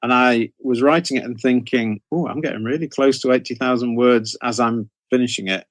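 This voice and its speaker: A British man in middle age chats as an interview guest, with a mid-pitched voice and a very dry recording. He tells anecdotes in a meandering way, often breaking off and restarting his sentences. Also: steady tone, a little fast, no room sound. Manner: rambling, self-interrupting storytelling.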